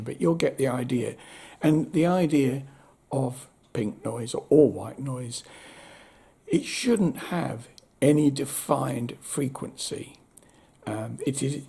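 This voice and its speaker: A man in his seventies giving a casual, unscripted review with wry understatement, in a husky voice. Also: mid-range voice, mellow. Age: 70s. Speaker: audio equipment reviewer. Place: indoor room at home.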